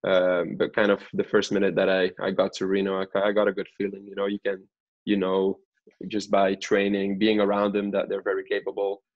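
A man's voice: low-pitched, completely flat delivery, brisk, lo-fi sound. A man in his twenties, a pro soccer player, chatting casually as an interview guest. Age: 20s. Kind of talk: casual podcast interview chat.

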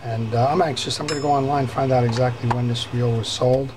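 Man, repair tutor at a workshop bench, mid-pitched, unhurried, fillers and restarts; camera mic picks up noticeable background noise. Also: fairly even intonation. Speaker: fishing reel repairer. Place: workbench in a workshop.

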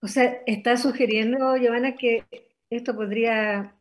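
A woman from Chile hosting a video call Q&A, quick and encouraging as she reads out questions. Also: fairly high pitch, steady tone. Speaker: host. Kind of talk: moderating a Q&A.

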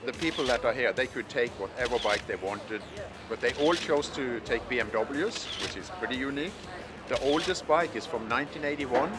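A man organizing a motorcycle tour, speaking upbeat and hopeful in a documentary interview. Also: medium pitch.

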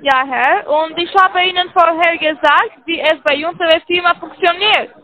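A woman's voice: very high voice, moderate pace, lo-fi sound. A woman cold-calling as a scam caller in a chirpy, scripted sales tone.

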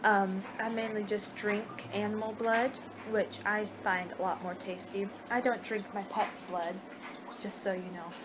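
A woman's voice: mid-range voice, level tone, unhurried. A woman in her twenties doing a rambling, hesitant ASMR roleplay as a friendly vampire, speaking conversationally. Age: 20s. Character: friendly young two-hundred-year-old female vampire hosting a guest. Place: small room at home. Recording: noisy home webcam recording.

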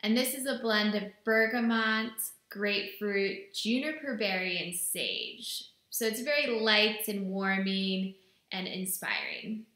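Slow bright-voiced woman in echoey room. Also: mid-range voice. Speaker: brand representative presenting products. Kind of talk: product presentation to camera. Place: small indoor room with bare walls.

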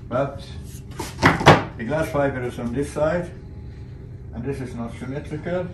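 A man in his eighties from Sweden, a solo sailor explaining his boatbuilding in a casual, halting, plain-spoken vlog in his workshop. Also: mid-range voice, noisy background. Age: 80s. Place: large indoor boat workshop.

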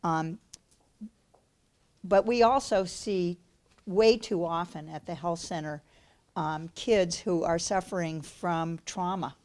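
A woman in her sixties speaking from a podium in a fairly low voice. She has a naturally loud delivery, talks in an appreciative tone, and leans on fillers. She is recorded on a podium microphone in a community room. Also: slow pace, pauses often. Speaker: health center executive director. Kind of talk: speech at a community breakfast. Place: large community function room.

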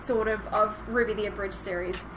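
A woman's voice: mid-range voice, fairly even intonation, brisk. A young woman hosting a casual podcast over a call, chatty and giggly. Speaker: podcast host. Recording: clearly noisy voice-call audio.

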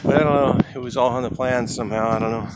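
A man's voice: mid-range voice, steady tone, fast. A man who works as a house painter, walking around a building outdoors and thinking aloud in casual commentary, often trailing off mid-thought. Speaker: house painter filming a walkthrough. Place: outdoors beside a large building. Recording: outdoor camera audio with traffic noise.

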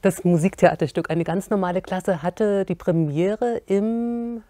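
A middle-aged woman moderating a panel, briskly introducing topics and firing off several questions at once. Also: mid-range voice, mellow, no room sound.

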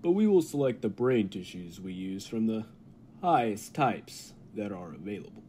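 A man's voice: on the low side, big pitch swings, moderate pace, mellow, husky. A man playing a confident laboratory scientist who explains things dramatically in an old-time radio play. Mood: earnest, ominous. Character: confident male laboratory scientist, eager and unflinching.

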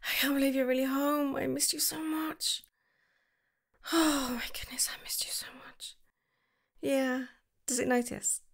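Gushing woman with a gravelly edge. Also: sits high, level tone, measured pace, long pauses. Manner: gushing and affectionate, shifting to soft whispers. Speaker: ASMR roleplay creator. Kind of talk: ASMR girlfriend roleplay.

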